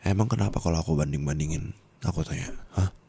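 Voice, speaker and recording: A young man voicing an angry, heartbroken young man who scolds his partner, swinging from shouting to low bitter muttering, in an ASMR roleplay. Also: fast, gravelly, a little hiss or hum. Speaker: roleplay voice actor.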